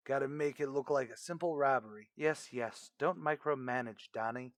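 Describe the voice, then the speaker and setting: An actor playing a callous criminal plotting over the telephone in a radio drama, clipped and matter-of-fact. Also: male voice, mid-range voice, moderate pace, no echo, studio quality, close to an everyday voice. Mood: cold, businesslike. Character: cold-blooded hired killers plotting by telephone. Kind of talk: radio crime drama acting.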